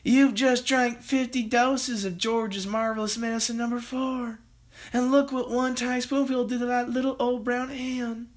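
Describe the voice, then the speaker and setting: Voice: medium pitch, flat delivery, normal speed, voice pushed up, natural voice. A storyteller voicing an anxious mother who wails and pleads in alarm. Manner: wailing, pleading. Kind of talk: storybook read-aloud.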